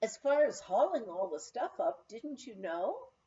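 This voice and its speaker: A woman's voice: fairly high pitch, very animated, a little slow, mellow, highs cut off. A woman voicing a motherly, capable older lodge manager with an assured, practical manner in a memoir reading. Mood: briskly practical. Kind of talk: storybook-style character reading.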